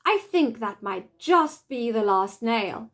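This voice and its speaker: Storybook kid, done by a woman reader: eager, playful, high. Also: lively intonation, slow, fairly bright tone. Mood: excited, playful. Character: eager, playful boys and girls in a children's story.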